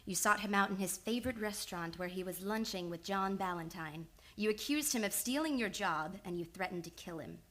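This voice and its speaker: Woman acting as smart young lady psychoanalyst in a radio play, medium pitch, calm, measured, sure of herself. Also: close to an everyday voice. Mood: accusing. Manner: measured, assured, matter-of-fact. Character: composed, shrewd young female psychoanalyst confronting a killer. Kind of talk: old-time radio drama acting.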